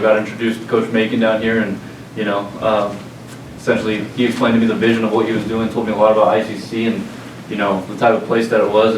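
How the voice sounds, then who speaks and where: A Southern California man introducing himself as offensive coordinator, talking quickly in a fairly flat, lowish voice. He sounds loose and friendly. He is heard from a distance in an echoey, noisy room. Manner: relaxed, friendly, loose chat.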